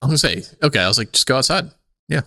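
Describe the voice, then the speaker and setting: A man's voice: mid-range voice, expressive, rapid-fire, gravelly, sharp tone, no room sound, clean studio sound. A man co-hosting a casual podcast, joking and chiming in with playful, teasing banter. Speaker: podcast co-host.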